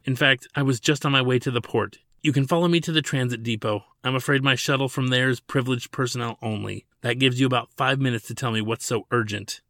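A man's voice: mid-range voice, fairly even intonation, fast talker, few pauses, a bit bright, no room sound, clean studio sound, natural voice. A man voicing an annoyed young princess who speaks curtly. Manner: cold, clipped.

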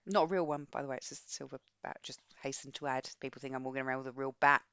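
A woman's voice: extremely low pitch, fairly even intonation, very fast, a bit bright, clean tone, no echo. A woman co-hosting a podcast, talking casually and enthusiastically about books in a chatty, rambling way. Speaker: podcast co-host. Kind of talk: casual book chat.

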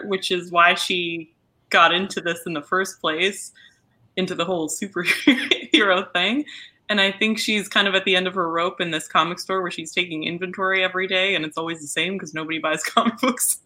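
A woman from Germany, a voice actor, chatting casually as an interview guest in an animated, halting way. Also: lowish voice. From Munich, Germany.

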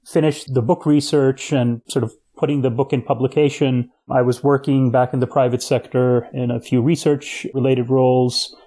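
A man born in Pakistan, a guest on an interview show, explaining history at a measured pace with occasional fillers. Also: medium pitch, little pitch movement, mellow. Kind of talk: podcast interview answers.

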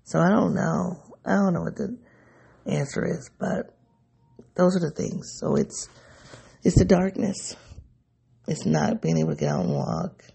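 Gravelly, raspy man musing sleepily. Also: fairly high pitch, a little slow, pauses often, warm tone. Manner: musing, rambling, self-searching. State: sleepy, voice going. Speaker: vlogger. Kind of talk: personal reflective monologue.

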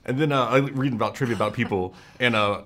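A man interviewing film actors in a press junket, upbeat and quick to laugh. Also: mid-range voice, fairly bright tone, a little hiss or hum. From Georgia, US.

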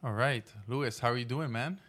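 A man's voice: mid-range voice, moderate pace, a bit bright. A young man hosting a podcast, asking questions casually, hesitant, with many fillers and restarts. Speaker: podcast host. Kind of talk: casual interview.

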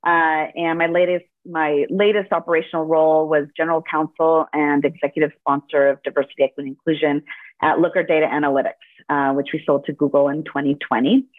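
A woman in her forties with a low voice tells her story warmly and fluently, with a few fillers. She grew up speaking Spanish. Her voice comes through a thin, phone-like line with no room sound at all. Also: little pitch movement, fairly quick, no echo. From Los Angeles, United States. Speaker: podcast guest, corporate lawyer and author. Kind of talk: interview conversation. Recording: dry, phone-like narrow sound.

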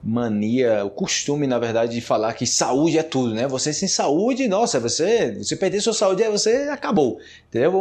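A man from Brazil with a Brazilian Portuguese accent hosting a casual solo podcast, talking conversationally and growing animated when he acts out what people say. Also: medium pitch. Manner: animated, rises sharply when acting out quoted remarks; conversational, with frequent tag questions. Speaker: podcast host teaching Portuguese.

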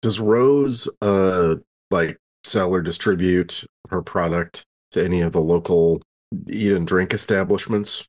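A man in casual table talk as a player in a roleplaying game, halting as he thinks aloud. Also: deep voice.

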